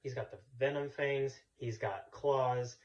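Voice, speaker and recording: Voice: mid-range voice, a little slow. Enthusiastic podcast co-host, lo-fi sound. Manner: enthusiastic, repeats words, counts down a list. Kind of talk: casual chat with friends. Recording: dull lo-fi podcast recording.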